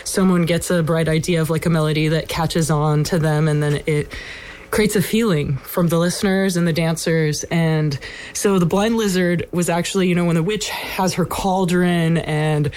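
A woman's voice: lowish voice, steady tone, normal speed, a little mellow. A woman from Ukraine, a band member, chatting casually about her band's music with lots of fillers like "like" and "um". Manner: rambling, many fillers like "like" and "um". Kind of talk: casual on-air chat.

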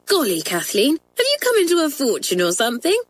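A woman's voice: mid-range voice, very animated, moderate pace, pitched above the performer's own voice. A woman voicing a bubbly, giggly schoolgirl who talks in gushing exclamations. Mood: delighted.

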